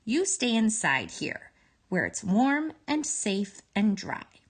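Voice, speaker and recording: Woman reading as a firm, protective storybook mother, unhurried. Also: mid-range voice, very animated, husky, heavily acted. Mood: firm, cautioning.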